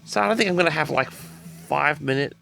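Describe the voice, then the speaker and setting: A man's voice: a little high, a little fast, vocal fry, pushed and bright, faint music behind. A man hosting a personal audio log, rambling casually and hesitantly, often repeating himself as he addresses his listeners. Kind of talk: rambling casual monologue to audience.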